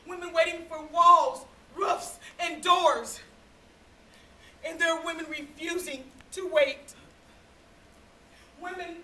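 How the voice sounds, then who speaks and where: A woman performing a solemn dramatic monologue on stage, deliberate and with dramatic pauses. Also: very high-pitched, sharp tone. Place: theatre stage, recorded from the audience. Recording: echoey hall from far off, faint noise.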